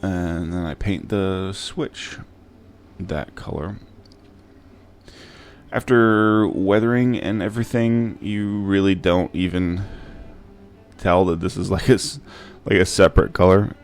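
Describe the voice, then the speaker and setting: A man narrating a casual DIY how-to in an informal, halting, hesitant way. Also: low voice, steady tone, darkish tone, a little hiss or hum. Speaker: DIY prop maker and channel host. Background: music.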